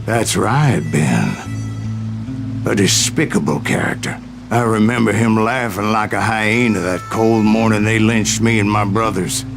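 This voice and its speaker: An actor playing a grizzled aging American gunslinger who tells of his exploits in a gruff, boastful drawl for a Western game. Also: lowish voice, measured pace, music in the background. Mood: bitter, vengeful. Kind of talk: Western game storytelling narration.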